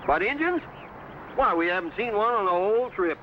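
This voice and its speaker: High-voiced, carefree frontier trapper, muffled old recording. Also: male voice, animated, brisk. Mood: scoffing. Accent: American. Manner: folksy backwoods drawl and dialect. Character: carefree, stubborn frontier trapper, scoffing at danger. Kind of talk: 1950s adventure serial acting. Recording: muffled, noisy old broadcast soundtrack.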